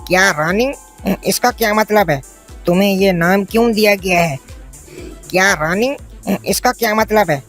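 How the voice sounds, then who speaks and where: A woman voices a cruel cartoon schoolteacher with a bossy, hectoring delivery. Her voice sits at a middling pitch and drips with scorn. Faint music plays behind her. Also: moderate pace, natural voice. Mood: scornful, angry. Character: cruel, scornful, greedy woman schoolteacher who terrorises children. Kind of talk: Hindi cartoon story voice acting.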